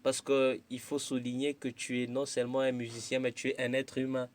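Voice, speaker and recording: A man's voice: mid-range voice, little pitch movement, a little fast. A man hosting a radio interview who asks his questions in formal announcer phrasing. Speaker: radio host.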